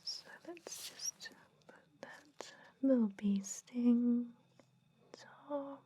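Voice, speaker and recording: A woman playing a gentle, chatty vampire dentist in an ASMR roleplay, whispering slowly and breathily to soothe and confide. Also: a little high, slight background noise, pitched above the performer's own voice. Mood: soothing, clinical. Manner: slow, soothing, hesitant, confiding.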